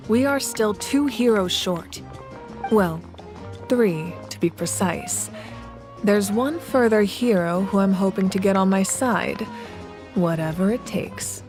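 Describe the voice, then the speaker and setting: A woman's voice: mid-range voice, natural voice. Composed, resolute warrior queen, acted by a woman. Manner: measured, formal, solemn. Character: composed, resolute warrior goddess ruling a nation. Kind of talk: video game voice acting.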